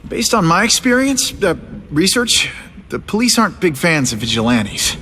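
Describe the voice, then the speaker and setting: Earnest, higher-voiced young interviewer. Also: male voice, sing-song, moderate pace, bright, forceful tone, a little hiss or hum, full character voice. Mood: tentative, polite. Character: earnest, hesitant young male news photographer interviewing. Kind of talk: video game cutscene acting.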